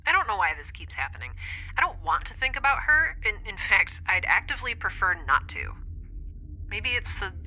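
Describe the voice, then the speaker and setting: A woman's voice: mid-range voice, sing-song, normal speed, pushed and bright, full character voice, poor audio. A woman playing an anxious young scientist in an audio drama, thinking aloud in a hesitant, confessional monologue. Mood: jittery, musing. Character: young woman scientist, anxious, introspective, rambling recorded diary.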